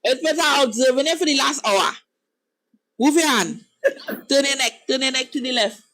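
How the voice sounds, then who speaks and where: A woman's voice: sits high, normal speed, fairly bright tone, poor audio. A woman, a guest on a live stream, talking bluntly and teasing the host. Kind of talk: casual live stream chat.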